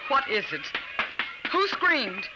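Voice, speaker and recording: A woman's voice: high-pitched, very animated, slow pace, muffled recording, over loud music, exaggerated voice. An actress playing a fretful but strict American suburban mother in a 1950s radio drama, on a noisy old recording. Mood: stern.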